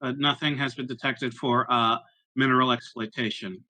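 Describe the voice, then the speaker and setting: A man in a tabletop roleplaying game, speaking casually in character, blunt but often pausing to think. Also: mid-range voice, fairly even intonation, pushed and bright.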